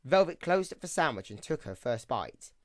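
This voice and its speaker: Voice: medium pitch, sing-song, moderate pace, no echo, clean studio sound. A narrator reading scripted third-person story narration for an amateur audio drama.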